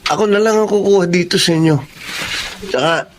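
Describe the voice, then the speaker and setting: Man acting a high-voiced, shifty young Filipino son. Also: brisk, lightly acted. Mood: nervous, secretive. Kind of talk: Filipino radio drama acting. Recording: clearly noisy background.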